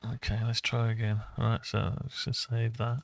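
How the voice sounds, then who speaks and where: A man thinking aloud and muttering to himself through an unscripted software walkthrough. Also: mid-range voice, little pitch movement, moderate pace, gravelly, no echo, studio quality. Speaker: hobbyist tutorial maker.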